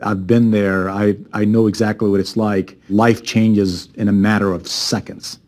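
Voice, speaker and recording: Man from afghanistan, executive in an interview, lower voice, emphatic. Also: flat delivery, measured pace, darkish tone. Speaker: company chief executive. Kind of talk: interview answers.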